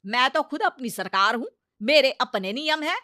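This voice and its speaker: A bright, forceful village mother-in-law, acted by a woman, high in pitch and recorded dry. She is bossy, stubborn and quick to temper. The delivery is loud, theatrical comic storytelling. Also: animated, no echo. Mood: defiant.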